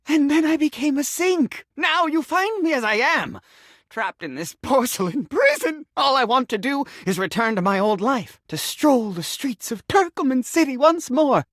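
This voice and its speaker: A man's voice: very high voice, no room sound, clean studio sound, full character voice. An actor voicing an eccentric talking sink who believes he is a man, in a florid, theatrical video game style. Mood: theatrical, rambling. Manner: florid, theatrical, whimsical, swinging between grandiose and deadpan.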